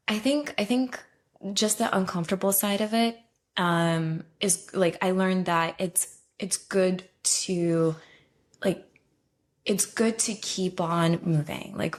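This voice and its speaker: Soft-spoken woman, hesitant and chatty. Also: on the low side. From Ukraine. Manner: hesitant, many ums and restarts, laughs easily. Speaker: guest, travel consultant. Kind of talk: casual interview conversation.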